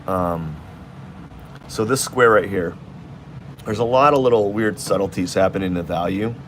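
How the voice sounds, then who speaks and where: A man's voice: deep voice, moderate pace, slight background noise. A man streaming live as he works on a drawing, thinking aloud in a rambling, casual way with many restarts. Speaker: artist streaming while drawing.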